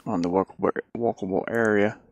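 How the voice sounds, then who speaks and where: A man thinking out loud in casual livestream talk, muttering to himself as he works on a game. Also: fairly low pitch, steady tone, fairly quick, no echo. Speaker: indie game developer streaming.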